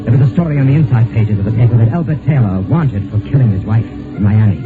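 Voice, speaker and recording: A man's voice: medium pitch, animated, fast talker, close to an everyday voice. Man acting an anxious fugitive, tinny old radio drama. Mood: tense, brooding. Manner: nervous, stammering in dialogue. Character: anxious male fugitive posing as a dead man. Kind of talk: old-time radio drama, first-person mystery narration and dialogue. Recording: tinny vintage radio recording, music behind.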